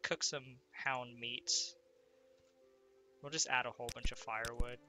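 Male let's-play commentator, congested, conversational and self-questioning, game soundtrack clearly audible behind him. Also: mid-range voice, steady tone, unhurried, music in the background. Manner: chatty, thinks aloud, asks himself questions. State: stuffed up, congested. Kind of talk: casual gameplay commentary.

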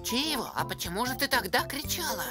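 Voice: mid-range voice, very animated, brisk, close to an everyday voice. An actor in a children's cartoon dub voicing a timid, fretful, easily startled young male animal. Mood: apologetic, hurt. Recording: loud music behind the voice. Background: loud cartoon music.

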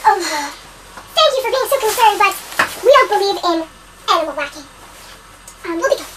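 Woman putting on a bubbly ditzy doll girl, very high squeaky voice, swooping, giggly, loud noisy background. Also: animated, fairly quick. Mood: giddy. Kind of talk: homemade doll comedy video.

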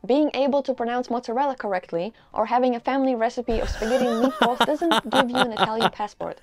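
A woman's voice: on the high side, quick pace, soft background music. A young woman from Europe presenting a scripted explainer video in a dry, teasing, matter-of-fact way.

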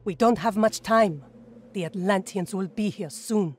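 A woman's voice: medium pitch, unhurried, a little mellow, a little hiss or hum, close to an everyday voice. An actress playing a resolute, loyal woman warrior leader in a video game, serious and determined. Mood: urgent, concerned. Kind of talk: dramatic video game voice acting.

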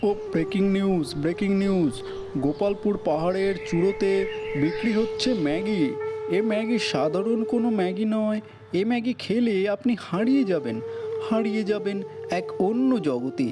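High-pitched excitable news reporter a man acting. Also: normal speed. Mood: excited announcing. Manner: emphatic, repeats key phrases. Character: excitable breathless-hype male TV news reporter. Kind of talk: cartoon parody of breaking news. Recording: music under the voice.

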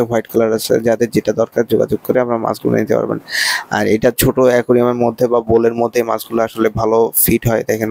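A man's voice: on the low side, vocal fry, dark tone, no echo. A man with a Bangladeshi accent presenting goods in a promotional shop-tour commentary, with repetitive sales patter. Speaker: presenter showing fish for sale.

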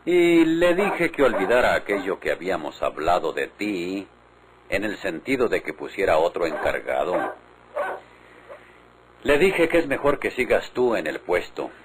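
An actor playing a sly, powerful Mexican country boss in a vintage radio drama, folksy and insinuating, with menace under the friendliness. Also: male voice, deep voice, big pitch swings, measured pace, background noise. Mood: friendly, smug. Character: sly, powerful rural patron, falsely friendly, veiled menace.

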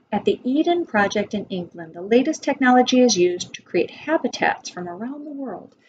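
A woman's voice: high voice, darkish tone. American woman reading aloud, dull lo-fi sound. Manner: instructive, asks listeners questions. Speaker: preschool teacher. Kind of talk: read-aloud of a children's nonfiction book with asides. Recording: dull lo-fi webcam sound, background noise.

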